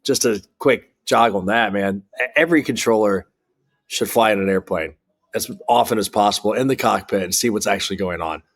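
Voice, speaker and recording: A man's voice: lowish voice, a little fast. An American man speaking candidly as an interview guest, an air traffic controller explaining things bluntly and emphatically with examples and jargon.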